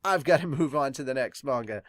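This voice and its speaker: Animated man doing casual fan commentary. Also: medium pitch, brisk, no echo, clean studio sound. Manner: animated, exclamatory, informal with mild swearing. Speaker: host of an anime and manga review podcast.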